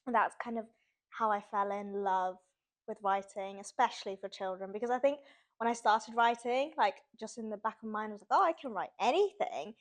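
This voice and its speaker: A woman's voice: mid-range voice, a little fast. A young woman from Sweden, chatting casually as an author guest in an interview and using lots of "like" fillers.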